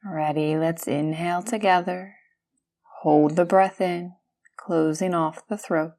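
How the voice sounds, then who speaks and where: A woman guiding a yoga nidra meditation, speaking gently and soothingly in calm, unhurried instructions with pauses between phrases. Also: fairly low pitch. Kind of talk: guided meditation.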